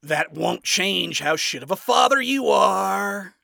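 A brash, scornful man as a male narrator acts him out in a story reading. His voice is raised and forceful with some gravel in it, and he speaks in a blunt, biting, sarcastic way, swearing freely. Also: on the high side, animated, unhurried, no room sound, studio quality, pitched above the performer's own voice, full character voice. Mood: scathing, sarcastic. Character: brash, scornful, foul-mouthed man ranting at his enemy.

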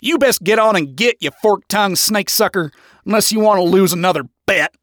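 A man's voice: sits high, fairly quick, fairly bright tone, no room sound, clean studio sound, pitched above the performer's own voice. A man voicing a cocky, sneering fiddler who taunts his rival in an audio story. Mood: sneering threat.

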